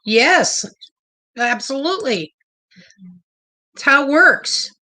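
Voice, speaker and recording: Chatty high bright-voiced woman host. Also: animated, slow. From Oregon. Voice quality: loud, big voice. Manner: chatty, nervous, effusive. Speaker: livestream host. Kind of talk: casual livestream chat.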